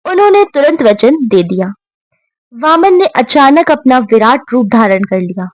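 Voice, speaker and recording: A woman with a fairly high voice narrates devotional stories from a script. Her delivery is very slow, measured and reverent. The sound is dry and close, with hardly any room to it. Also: big pitch swings, no room sound, rough recording. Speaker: storyteller.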